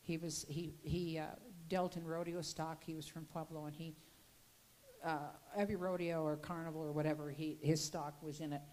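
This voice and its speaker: An older woman with a slightly low voice tells local history in a folksy, chatty way, often restarting her words. She speaks into a handheld mic in an indoor gallery room during a slide presentation. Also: flat delivery, moderate pace, darkish tone, slight background noise, rough recording.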